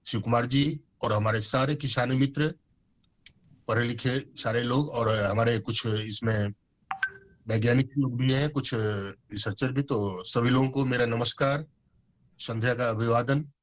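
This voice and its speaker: Guest speaker, male, 50s; informal, halting delivery, mid-pitched voice, video-call sound. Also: fairly even intonation. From Bihar, India. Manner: conversational, many fillers and restarts, mixes English words. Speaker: guest speaker on organic farming. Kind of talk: informal talk to an online audience.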